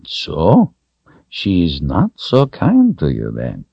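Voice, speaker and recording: A man acts out an elderly, kindly wizard in a fantasy storybook. The voice is low and slightly husky, soft-spoken and unhurried, with a swooping, reassuring gentleness. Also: wildly swooping pitch, no room sound, dull audio, exaggerated voice. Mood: sympathetic. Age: older.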